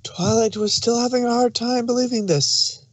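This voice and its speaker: A man's voice: very high voice, fairly even intonation, moderate pace, no echo. A man reading scripted story narration as the narrator.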